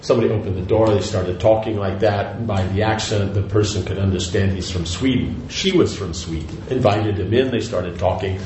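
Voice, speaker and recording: A man's voice: fairly low pitch, normal speed, hardly any pauses, darkish tone. An older man giving a devotional lecture, talking in a loose, rambling way with many restarts and asides. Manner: rambling, conversational, frequent "you know" and restarts. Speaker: spiritual teacher. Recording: echoey room with faint noise.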